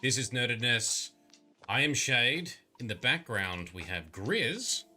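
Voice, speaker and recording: A man hosting a relaxed live stream, chatting casually with lots of fillers about his mod making. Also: medium pitch, slow pace. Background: faint radio music.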